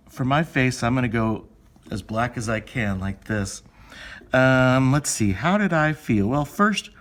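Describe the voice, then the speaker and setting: Man teaching young children, informal and chatty. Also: fairly low pitch. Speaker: elementary school teacher. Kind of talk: step-by-step lesson for young children, thinking aloud.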